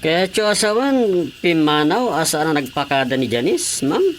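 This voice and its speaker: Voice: medium pitch, sing-song, normal speed, faint music behind, natural voice. A performer acting a deferential, fretting maid in a radio drama.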